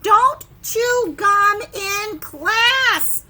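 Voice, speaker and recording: A woman voicing a stern, exasperated schoolteacher from a children's picture book, giving emphatic, drawn-out commands. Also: very high voice, lively intonation, bright, forceful tone, slight background noise, voice pushed up, full character voice. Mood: stern, scolding. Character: stern, exasperated female schoolteacher scolding a small boy. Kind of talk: picture-book read-aloud for preschoolers.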